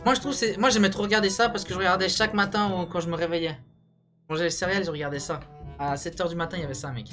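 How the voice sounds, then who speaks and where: A man's voice: sits high, rapid-fire. A young man chatting casually and jokingly in slangy language, like a YouTube host telling stories to a friend. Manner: slangy, joking, animated storytelling. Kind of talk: casual banter, ranking cartoons. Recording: music behind the voice.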